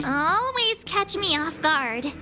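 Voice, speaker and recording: A woman's voice: extremely high pitch, big pitch swings, slow, music in the background, full character voice. A woman voicing a small, cheeky girl companion in a video game. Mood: wry.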